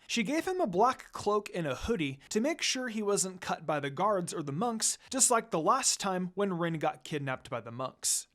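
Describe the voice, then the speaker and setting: A narrator reading a fan fiction story aloud in an expressive amateur storytelling style. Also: mid-range voice.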